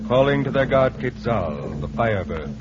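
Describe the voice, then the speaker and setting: An actor playing a lonely, haunted mining engineer with a brooding, ominous delivery in 1940s radio drama style. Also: male voice, mid-range voice, expressive, moderate pace, background noise, close to an everyday voice. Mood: uneasy, ominous. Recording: muffled vintage radio recording.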